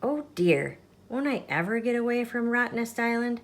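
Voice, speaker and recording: A woman's voice: on the high side, animated, moderate pace, voice pushed up. An earnest little boy quokka from a children's storybook, read by a woman. He is eager and determined, with a wistful way of speaking. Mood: dismayed. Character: small eager, determined male quokka dreaming of surfing. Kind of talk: children's storybook character reading.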